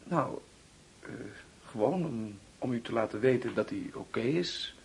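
A man's voice: mid-range voice, animated, unhurried, a little mellow, slight background noise. An actor playing a reserved, polite young man in a radio drama, terse and hesitant. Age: young adult.

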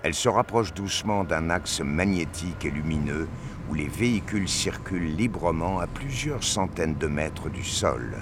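A man's voice: low voice, background noise. A man reading scripted narration for a science fiction audio drama.

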